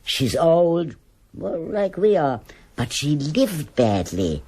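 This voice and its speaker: A woman's voice: low voice, sing-song, takes it slowly, mellow, breathy edge, heavily acted. An actress playing a stubborn, judgmental older woman in a radio drama. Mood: scornful. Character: stubborn, defensive old German woman, gossipy neighbour.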